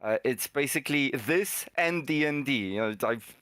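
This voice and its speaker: Clear, smooth-voiced man chatting. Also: mid-range voice, expressive, normal speed, a bit bright, no room sound, clean studio sound. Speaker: amateur voice actor, panel guest. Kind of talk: casual chat.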